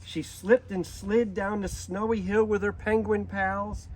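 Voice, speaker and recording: High-voiced man reading a storybook slowly. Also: little pitch movement, low-quality recording. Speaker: zoo director reading a storybook. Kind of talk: children's storybook read-aloud.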